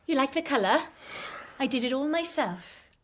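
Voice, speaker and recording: An actress playing a warm, earnest young pregnant doctor's wife in a naturalistic radio drama, with a breathy voice. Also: female voice, high-pitched, lively intonation, moderate pace, a little hiss or hum. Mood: bright, welcoming. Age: young adult.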